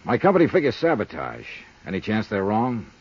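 A hardboiled American investigator in a 1950s radio drama. His voice sits fairly low and moves with lively swings, wry and businesslike. The recording is muffled with age. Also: fairly quick, breathy edge, exaggerated voice. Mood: businesslike, probing. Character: brisk, wry male insurance investigator, hardboiled.

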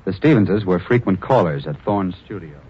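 A man's voice: low voice, expressive, normal speed, slightly rough, exaggerated voice. A man acting a young, wry police reporter who narrates a 1940s radio drama in a clipped, dramatic way. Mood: wry, factual. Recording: muffled, noisy old radio recording.

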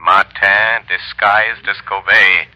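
An actor playing a mysterious, all-knowing invisible crime fighter in an old radio thriller, speaking with measured, ominous command. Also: male voice, lowish voice, level tone, bright, forceful tone, full character voice. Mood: calm, authoritative. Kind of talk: 1930s-40s radio thriller acting. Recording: dull, lo-fi old radio recording.

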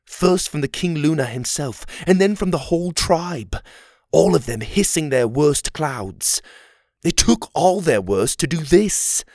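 A man's voice: fairly high pitch, lively intonation, measured pace, a little mellow, no echo, clean studio sound, voice pushed up. A man voicing an earnest, desperate fairy soldier in a storybook, pleading with urgency.

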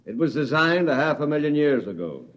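An actor playing a grave, defensive general testifying in a radio drama, formal, measured and emphatic. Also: male voice, a little high, sing-song, a bit bright. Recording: slightly dull radio recording.

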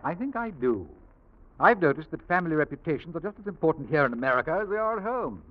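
A man playing a suave, flattering British con man with sly menace in an old radio drama. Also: on the high side, quick pace. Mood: silky, menacing. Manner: urbane, flattering, slyly menacing. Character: suave, smooth-talking British male swindler and ex-convict. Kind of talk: 1940s radio drama acting. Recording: dull vintage radio recording.

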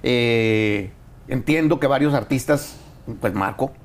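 A Mexican man hosting a casual interview, talking in a relaxed, rambling way with lots of fillers. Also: mid-range voice, takes it slowly. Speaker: interview host.

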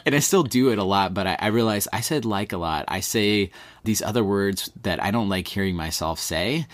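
A man from East Texas with an East Texas accent, talking in a relaxed, rambling way as a podcast host being interviewed. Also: lowish voice, normal speed, dry recording. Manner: relaxed, rambling, many fillers like "like". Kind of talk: casual conversational interview.

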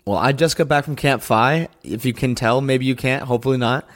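A male podcast co-host talks quickly and cheerfully, his voice slightly hoarse. He speaks at a middle pitch and keeps things chatty. He is recording from a friend's apartment. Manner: upbeat, chatty.

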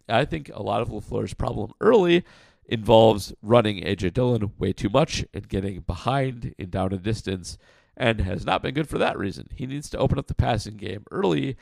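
A man hosting a casual podcast, answering listener questions in a blunt, frank way with lots of fillers; his voice sounds rough like sandpaper. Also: mid-range voice, big pitch swings, a little fast, dry recording. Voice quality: gravelly, like sandpaper. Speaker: podcast host.

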